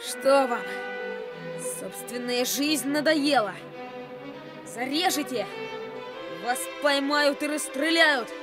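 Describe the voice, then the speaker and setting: Brave boy, high slow voice. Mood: defiant. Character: brave, defiant young boy standing up to a gang. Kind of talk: Soviet radio play acting. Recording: old radio play with music underneath.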